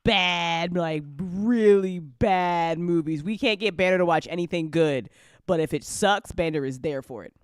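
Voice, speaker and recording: A woman's voice: lowish voice, unhurried, clean tone, no room sound, studio quality. A woman hosting a casual after-show chat, talking in an emphatic, gushing, sweary way. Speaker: host and audio drama writer.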